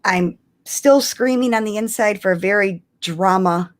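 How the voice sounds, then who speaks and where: A woman's voice: medium pitch, measured pace. A woman chatting casually and expressively as co-host of a fan livestream.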